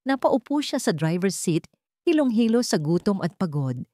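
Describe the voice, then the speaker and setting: A woman narrating a romantic drama in a calm, measured storytelling manner. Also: mid-range voice, dry recording, clean studio sound.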